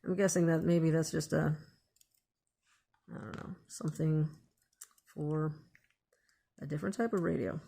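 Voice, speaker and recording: A woman's voice: sits low, fairly even intonation, unhurried, vocal fry, long pauses, dark tone, breathy edge. A woman hosting a casual hands-on DIY tutorial, thinking aloud in an unscripted way as she works. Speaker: DIY video host.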